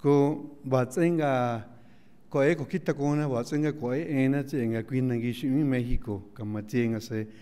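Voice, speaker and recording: A man's voice: mid-range voice, a little slow, mellow, clean tone. A man whose first language is Mazatec giving an earnest formal opening speech, piling up lists with many fillers. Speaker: director of a national institute, speaker. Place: auditorium. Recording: lecture hall with long reverb.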